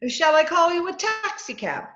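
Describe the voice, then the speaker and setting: A woman plays a patient, wry wife keeping the peace in an old radio comedy. Her voice is high and lively, soothing and affectionate, heard through a dull, echoey recording. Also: normal speed, full character voice.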